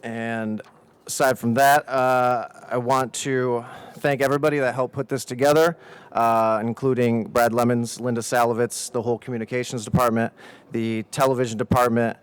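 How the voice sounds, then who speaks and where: A man's voice: medium pitch, level tone, moderate pace, clear, resonant tone. A man moderating a student election debate, reading rules and roles aloud with the odd filler. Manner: reads roles out evenly, with some "uh" fillers. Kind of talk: formal announcements.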